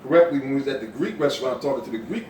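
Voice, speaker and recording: A man chatting casually in a group, halting and repeating his words. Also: medium pitch, expressive, a little mellow, slight background noise. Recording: distant mic in an echoey room.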